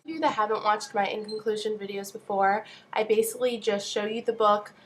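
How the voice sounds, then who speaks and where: A woman's voice: mid-range voice, a little fast, slight background noise, poor audio. A young woman with a nasal voice, stuffed up with a cold, chatting casually as she gives book reviews. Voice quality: nasal, congested. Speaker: book vlogger.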